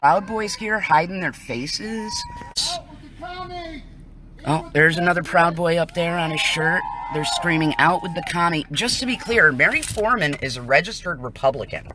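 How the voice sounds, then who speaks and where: A woman's voice: lowish voice, normal speed, background noise. Indignant American woman reporting live. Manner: indignant, emphatic. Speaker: citizen reporter livestreaming. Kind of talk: live on-scene commentary to camera. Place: outdoors on a residential street.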